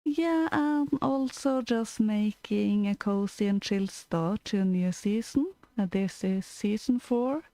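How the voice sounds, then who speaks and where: A woman's voice: mid-range voice, slow, few pauses, warm tone, dry recording. A Norwegian woman co-hosting a storytelling stream, chatting casually and hesitantly with frequent fillers and small laughs. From Norway. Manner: hesitant, lots of fillers and giggles. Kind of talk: casual chat.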